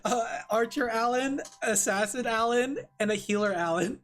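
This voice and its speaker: A man's voice: extremely high pitch, moderate pace, fairly bright tone, a little hiss or hum. Rambling, laughing male streamer. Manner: rambling and self-deprecating, laughs often. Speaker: vtuber streamer. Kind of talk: casual livestream chat while drawing.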